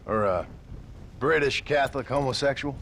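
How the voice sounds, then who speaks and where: A man's voice: medium pitch, moderate pace, slight background noise, close to an everyday voice. A man acting a sardonic, tough American gunslinger in a Western video game, dryly polite and sarcastic. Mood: dry sarcasm. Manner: dry, sarcastic, formal drawling politeness. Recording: loud game ambience and animal sounds.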